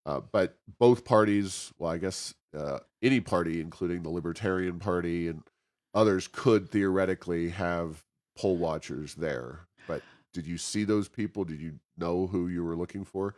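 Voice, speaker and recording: A man's voice: sits low, moderate pace, a little mellow. A man with an American accent hosts a casual interview, asking meandering, conversational questions with many hesitations and ums. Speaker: interview host.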